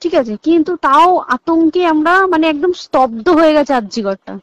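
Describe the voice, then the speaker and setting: A woman's voice: high-pitched, expressive, brisk, no echo. A young woman with a West Bengal Bengali accent talking emphatically in an informal recorded phone call, repeating phrases and mixing in English words. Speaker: hospital insider recounting events on a phone call. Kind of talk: informal private phone conversation.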